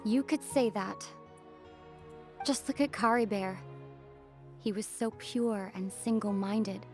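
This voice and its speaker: A solemn young wanderer played by a woman speaks in a medium-pitched voice, composed and quietly resolute, as in a fantasy game cutscene. Faint music sits behind the lines. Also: slow, natural voice. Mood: solemn, reflective. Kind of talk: scripted game voice acting.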